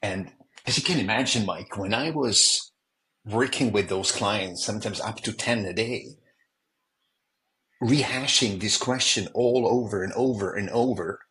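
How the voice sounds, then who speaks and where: A man from Switzerland speaks as a guest on a remote podcast, sitting a bit low in pitch. He is earnest and reflective, and he acts out past conversations as he tells them. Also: unhurried, sharp tone, poor audio. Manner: earnest, reflective, quotes others in dialogue. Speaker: executive coach, guest. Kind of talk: podcast interview, storytelling. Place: remote call.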